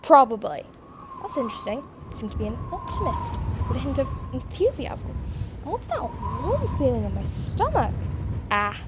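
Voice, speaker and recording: A woman playing a quick, scatty, optimistic alien time traveller in a fan audio drama, chattering to herself and switching abruptly between worry and pep. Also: sits high, very animated, background noise. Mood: determined, upbeat.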